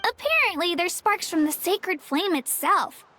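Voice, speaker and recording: A woman's voice: very high voice, very animated, unhurried, sharp tone, slight background noise, exaggerated voice. A woman voicing an earnest, sweet young girl adventurer in a video game, with a childlike voice. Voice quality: childlike, girlish. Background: music.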